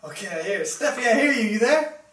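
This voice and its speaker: High-voiced man hosting, roomy echo. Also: expressive, fast, fairly bright tone, far from the mic. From Canada. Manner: chatty, laughs often, lots of fillers. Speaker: host. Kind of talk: casual live-stream interview. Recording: roomy sound, mic set back.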